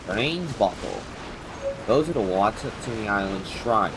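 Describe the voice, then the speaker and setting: A man giving casual livestream game commentary, halting and muttering his reactions to himself as he plays. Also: on the low side, expressive, background noise. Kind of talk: casual game commentary, reading dialogue aloud. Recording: game music behind the voice. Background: video game music.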